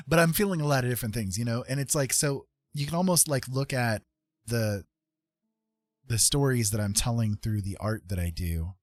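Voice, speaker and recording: A man's voice: on the low side, no echo. A middle-aged man hosting a casual livestream, talking in an earnest, musing and hesitant way. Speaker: livestream host, artist and writer. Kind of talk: casual reflective livestream chat. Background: music.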